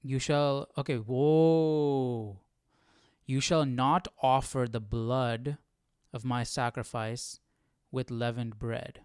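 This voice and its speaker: A man's voice: medium pitch, steady tone, slow pace, clean tone, dry recording, studio quality. A man hosting a casual live Bible study, thinking aloud, questioning and often repeating phrases.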